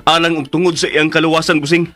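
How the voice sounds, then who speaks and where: A man's voice: fairly high pitch, level tone, fast, pushed and bright. An actor playing a humble, loyal and anxious male servant in a radio drama, stammering and deferential. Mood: earnest, devoted.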